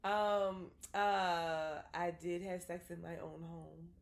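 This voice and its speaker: A woman's voice: fairly low pitch, level tone, slow, vocal fry. A young woman co-hosting a podcast, frankly telling profane stories in a casual chat full of "like". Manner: frank, profane, storytelling with lots of "like". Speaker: podcast co-host.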